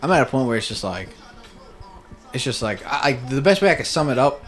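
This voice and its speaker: A man's voice: medium pitch, sing-song, fairly bright tone. A man chatting on a casual podcast, rambling with lots of fillers. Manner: rambling, fast, full of fillers.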